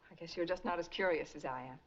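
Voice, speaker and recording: An American actress playing a wary, sharp-tongued mother in 1940s film noir, brisk and questioning. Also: fairly low pitch, natural voice. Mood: wary, probing. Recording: muffled, hissy 1940s film soundtrack.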